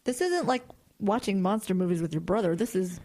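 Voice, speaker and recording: A woman's voice: mid-range voice, expressive, fairly quick, dark tone, close to an everyday voice. A woman playing a strict, worried mother who scolds her son. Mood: scolding. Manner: scolding, exasperated. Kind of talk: horror audio drama.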